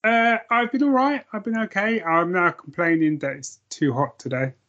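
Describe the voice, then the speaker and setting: A man's voice: high-pitched, fairly even intonation, normal speed, fairly bright tone, muffled recording. A man co-hosting a casual podcast, easygoing and rambling, with self-deprecating asides. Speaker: podcast co-host. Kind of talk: casual chat.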